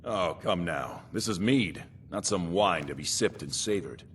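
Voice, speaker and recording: An actor playing a stern, overbearing guard captain in a fantasy video game, commanding and accusatory in manner. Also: male voice, low-pitched, expressive, a little slow, a little hiss or hum. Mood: hearty, demanding.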